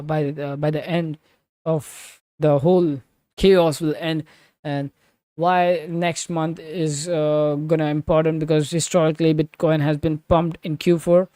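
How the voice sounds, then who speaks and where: A man from Switzerland giving live crypto market commentary and answering chat, halting with many fillers, restarts and loose grammar. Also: on the high side, warm tone, no echo. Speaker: crypto trading livestreamer.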